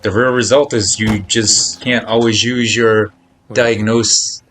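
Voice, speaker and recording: A man's voice: fairly low pitch, level tone, slow, a bit bright. A man chatting casually on a live game stream, speaking in a blunt, admonishing, repetitive way. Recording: noticeable background noise.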